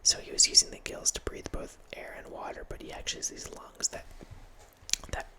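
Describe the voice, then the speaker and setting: A man in his thirties hosting an ASMR channel, whispering a rambling film chat into a close microphone in a small home room. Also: brisk. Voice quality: soft unvoiced whisper. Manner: rambling, with restarts and quiet laughs. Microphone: close studio mic with headphones.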